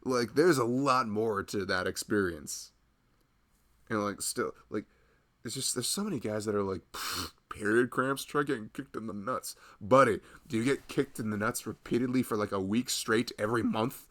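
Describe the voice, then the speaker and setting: A man playing a loving, protective husband in an intimate ASMR roleplay, soft-spoken and chatting in a rambling, casual way. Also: on the low side, moderate pace, natural voice. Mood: amused, indignant.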